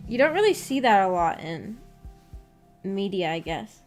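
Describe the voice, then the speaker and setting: A woman's voice: mid-range voice, sing-song, unhurried. A woman livestreamer chatting casually with her viewers in a hesitant, rambling, giggly manner. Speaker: streamer and host of a visual novel book club. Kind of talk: casual livestream chat, reacting to viewer comments. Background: background music.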